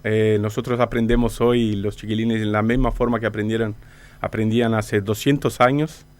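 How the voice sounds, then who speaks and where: A man from Brazil with a Brazilian accent and native Portuguese, giving halting explanatory interview answers. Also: fairly low pitch, flat delivery, a little mellow. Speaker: interview guest, company director.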